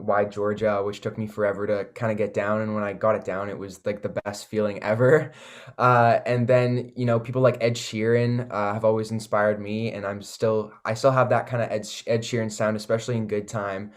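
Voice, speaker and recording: Laid-back young Canadian man, lowish voice. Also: level tone, fairly quick. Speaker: singer-songwriter guest. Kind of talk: casual interview chat.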